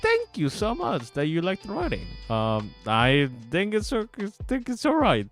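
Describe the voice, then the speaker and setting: A man giving casual livestream commentary as a game developer, halting and repeating himself excitably. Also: high-pitched. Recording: music behind the voice.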